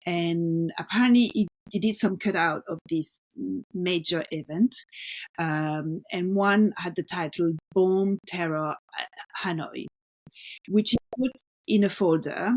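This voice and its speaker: A woman's voice: on the low side, unhurried, a little mellow, rough recording. A woman co-hosting a podcast, talking casually about an art exhibition, explaining at length with many fillers and restarts. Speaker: podcast co-host.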